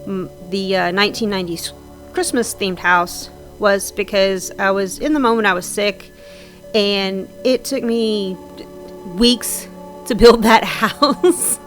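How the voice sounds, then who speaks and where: A woman's voice: medium pitch, takes it slowly, faint music behind. A woman with a slightly scratchy voice from sinus trouble, chatting casually and rambling with frequent ums and restarts over her game build. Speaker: Sims builder narrating a speed build.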